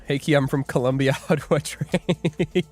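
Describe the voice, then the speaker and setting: A man's voice: on the high side, steady tone, normal speed, darkish tone, soft background music. A young man hosting a live trading stream, joking and rambling as he reads viewer comments aloud. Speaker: livestream day trader host. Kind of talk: casual livestream chat.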